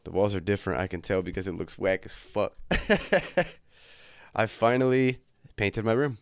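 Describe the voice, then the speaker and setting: A young man talks to his livestream chat in a laid-back, joking way, crude and unhurried. His voice sits a little low and comes through smooth and clear, slightly congested from a cold. The sound is dry and close. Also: no room sound. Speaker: livestream host. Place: his bedroom at home.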